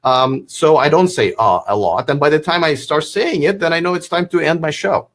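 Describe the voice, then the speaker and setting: A man's voice: on the high side, normal speed, fairly bright tone. A man in his forties giving casual advice as a guest, blunt and matter-of-fact. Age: 40s. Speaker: guest video creator.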